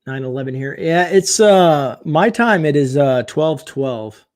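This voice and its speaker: A man hosting a casual late-night livestream, chatting and reading viewer comments aloud, his voice getting hoarse. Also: a little high, expressive, measured pace, darkish tone. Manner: chatty, reads viewer comments aloud, frequent fillers and laughs. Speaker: livestream host.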